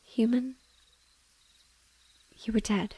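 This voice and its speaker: A woman voicing a mournful, gently loving banshee in a breathy whisper, slow and tender, as in an intimate audio roleplay. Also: on the high side, fairly even intonation, no room sound, full character voice. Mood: soft, sorrowful. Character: sorrowful female banshee, gentle and lovingly devoted.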